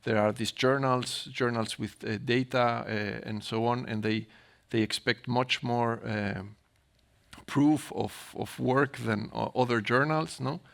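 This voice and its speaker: A man speaking as a research panelist, answering informally in halting phrases and often ending on a questioning tag. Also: medium pitch, steady tone, gravelly, a bit bright. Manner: halting, tags sentences with "no?".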